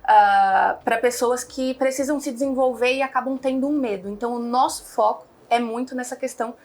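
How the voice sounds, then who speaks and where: A woman's voice: on the high side, fairly quick, a little mellow. A young Brazilian woman talking casually as an interview guest, chatty and rambling with many fillers. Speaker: guest, founder of an online English school.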